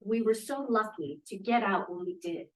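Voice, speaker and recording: A woman's voice: mid-range voice, moderate pace, natural voice. Actress as an earnest young émigré woman, echoey room. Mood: confessional, sad. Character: earnest young Russian émigré woman scientist, pleading and idealistic. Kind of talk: 1950s period drama read at a table read. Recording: echoey room, distant microphone.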